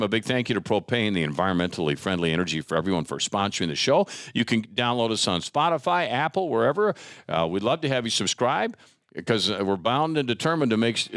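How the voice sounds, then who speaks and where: A man's voice: lowish voice, fairly bright tone, no echo, clean studio sound. An American man hosting a podcast in a casual, conversational interview style. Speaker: podcast host.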